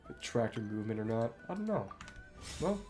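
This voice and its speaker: A woman's voice: rumbling low, very animated, brisk, darkish tone. A woman streaming a video game, giving casual commentary in short remarks to herself while game music plays. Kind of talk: casual gameplay commentary mixed with reading dialogue aloud.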